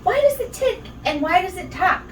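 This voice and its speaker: Curious young storybook girl, played by a woman reader, high, eager and wide-eyed, slightly distant recording. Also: big pitch swings, normal speed. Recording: roomy, distant mic with faint noise.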